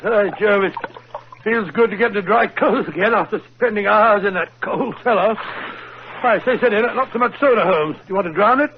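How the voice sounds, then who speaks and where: A genial doctor sidekick with a high voice and a bumbling, hearty manner. A faint hiss sits under the dull, lo-fi sound of a 1940s radio broadcast. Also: male voice, brisk.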